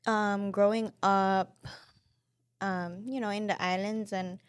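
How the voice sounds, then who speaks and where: A woman in her twenties with a mixed Filipino and Pacific islander accent, telling her story as a podcast guest in a lively, chatty way. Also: medium pitch, slow pace, clear, resonant tone, no room sound, clean studio sound. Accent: Pacific islander and Filipino mix. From Saipan and Guam. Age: 20s. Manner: chatty, animated storytelling with acted-out quotes. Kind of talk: casual interview chat.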